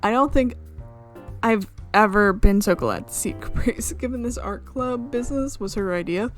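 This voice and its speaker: A woman reading visual novel narration aloud as a let's player, with casual reactions of her own. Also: mid-range voice.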